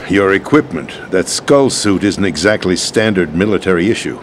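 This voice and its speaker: An actor playing a grave, bitter, resigned president in a dramatic video game cutscene, speaking deliberately and theatrically. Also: male voice, sits low, slight background noise, lightly acted. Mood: wary, resigned. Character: bitter, resigned male US president, middle-aged or older.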